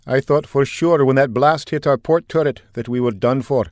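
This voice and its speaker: A man's voice: medium pitch, normal speed, a little mellow, dry recording, clean studio sound, pitched above the performer's own voice, close to an everyday voice. A man voicing a shaken, brooding male fighter pilot who speaks grimly and reflectively. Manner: grim and reflective.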